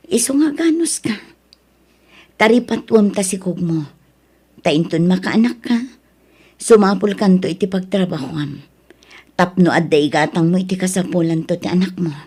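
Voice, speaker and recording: A woman's voice: on the low side, lively intonation, moderate pace, long pauses, a little mellow, breathy edge. A woman playing a concerned older sister who counsels firmly in an audio drama, with an Ilocano accent. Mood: earnest, admonishing.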